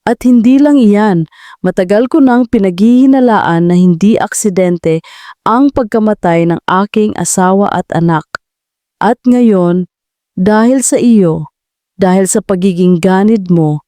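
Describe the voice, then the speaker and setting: A woman's voice: medium pitch, unhurried, mellow, no room sound, close to an everyday voice. Woman voicing a stern, vengeful male tycoon. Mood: stern, accusing. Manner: stern, accusing, authoritative. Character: vengeful male tycoon, commanding, righteously angry. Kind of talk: Tagalog audio drama acting.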